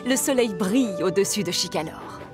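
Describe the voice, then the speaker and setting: A woman narrating a scripted children's story with expressive delivery. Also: mid-range voice, moderate pace. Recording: music behind the voice.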